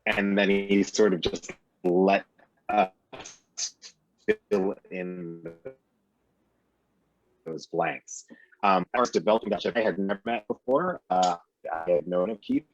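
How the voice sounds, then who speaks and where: A man's voice: low voice, slow, pauses often, a little hiss or hum. A man speaking as an actor on a panel, casual and reflective, picking his phrases thoughtfully. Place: remote video call. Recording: dull, lo-fi video call.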